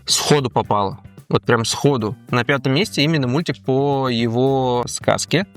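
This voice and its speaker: A man's voice: mid-range voice, normal speed. Man hosting a casual quiz, music behind. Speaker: host of a quiz-style show about cartoons.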